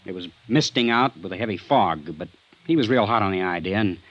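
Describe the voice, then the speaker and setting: Male defensive young suspect, earnest and touchy, lowish voice, dull dry old-radio recording. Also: animated, a bit bright, dry recording. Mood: earnest recounting. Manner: defensive, earnest, quick to bristle. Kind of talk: vintage radio drama acting.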